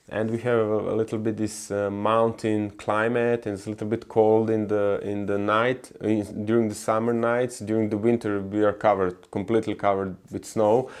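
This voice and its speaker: A young man from Croatia with a Croatian accent, talking casually to camera about gardening, halting often with fillers and restarts. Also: mid-range voice. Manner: hesitant, many fillers and restarts. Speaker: gardening vlogger.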